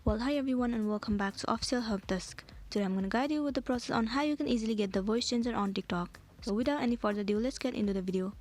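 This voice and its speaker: Fast-talking woman narrating a how-to. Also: fairly high pitch, darkish tone. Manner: instructional, step-by-step. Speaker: tutorial host. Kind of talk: scripted how-to tutorial narration.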